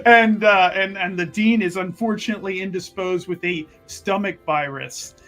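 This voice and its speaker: Male tabletop player, higher voice, improvised repetitive narration over faint music. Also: normal speed, fairly bright tone. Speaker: player in a tabletop roleplaying game. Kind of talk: animated improvised narration of his character.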